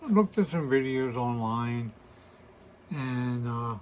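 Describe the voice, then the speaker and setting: Slow hoarse man in his sixties. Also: medium pitch, animated, a little mellow, slight background noise. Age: 60s. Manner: hesitant and apologetic, many fillers and restarts. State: hoarse, weakened voice. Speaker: host of a beard channel. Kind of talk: casual to-camera vlog review.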